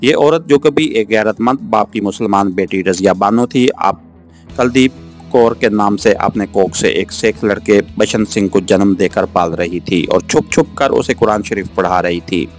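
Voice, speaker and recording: A man reading a novel aloud as an audiobook narrator, in an earnest, expressive storytelling style. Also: on the low side, moderate pace. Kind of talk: dramatic scripted novel narration. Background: background music.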